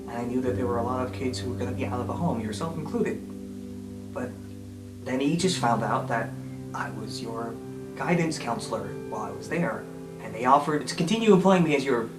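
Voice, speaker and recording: A man acts out a sheepish male guidance counselor in a tabletop roleplay show. He speaks haltingly and apologetically, in a mid-pitched voice. Guitar music plays clearly behind him in a roomy space. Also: natural voice.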